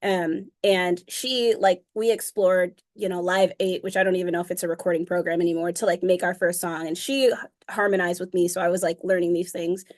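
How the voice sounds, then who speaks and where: A woman's voice: on the low side, fairly even intonation, fairly quick, darkish tone. A young woman being interviewed about her music, talking casually with many fillers and frequent laughs. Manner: chatty, fillers of um and like, laughs often. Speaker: interview guest, singer.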